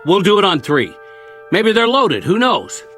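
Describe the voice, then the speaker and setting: An actor playing a world-weary, wary soldier who survived a war, talking in a colloquial, rambling way in a radio drama. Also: male voice, sits high, huge pitch swings, measured pace, fairly bright tone, soft background music, heavily acted. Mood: tense, daring.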